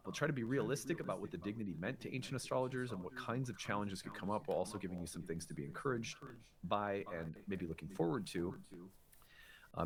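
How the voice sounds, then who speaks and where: A man with a fairly low voice presents a talk show in a conversational, earnest style. He explains things plainly as host, and a clear crowd murmur sits behind him.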